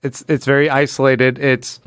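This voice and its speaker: A man co-hosting a podcast, casually discussing comics in a halting way, repeating words as he goes. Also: medium pitch, level tone, a bit bright, smooth, dry recording. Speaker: podcast co-host.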